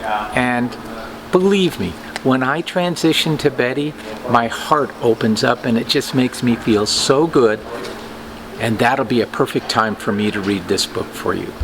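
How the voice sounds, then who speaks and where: An older man giving an informal vlog talk to camera as a store owner, with deliberate, halting phrasing. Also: mid-range voice. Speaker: comic book store owner and presenter. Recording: clearly audible background noise, ambient hum.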